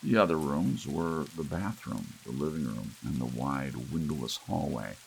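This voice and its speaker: A man narrating an audiobook in a hushed ASMR bedtime style. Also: a bass voice, moderate pace, creaky. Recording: steady rain and hiss behind. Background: soft rain.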